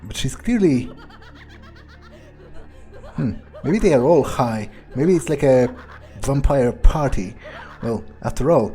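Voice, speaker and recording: Man playing a slow-talking crude, cocky vampire. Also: mid-range voice, animated, mellow, faint music behind. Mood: puzzled musing. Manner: profane, muttering asides to himself. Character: crude, cocky male vampire, irritable and darkly musing. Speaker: game player in character. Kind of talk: improvised in-character game playthrough.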